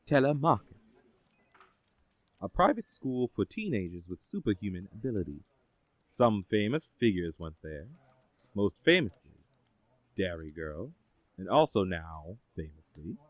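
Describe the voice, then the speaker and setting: Actor as a low, measured official, lively intonation. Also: male voice, dry recording. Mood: composed, helpful. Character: composed official in charge of a superhero agency. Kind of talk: scripted audio drama acting.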